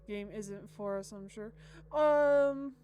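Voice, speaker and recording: A woman's voice: medium pitch, slow pace, warm tone, clear, resonant tone. An American woman streaming a game, chatting casually with stammered, repeated words. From United States. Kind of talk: casual livestream commentary and reading aloud.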